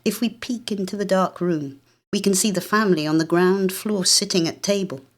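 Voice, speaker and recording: A woman with a British accent reading a literary short story in a measured, expressive, dramatic way. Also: mid-range voice. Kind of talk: literary short story narration, first person.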